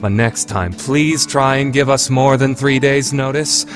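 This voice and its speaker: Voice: mid-range voice, a little slow, over music, lightly acted. An actor playing an earnest, composed teenage boy, a student council president, in an anime fandub radio play. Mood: weary, polite.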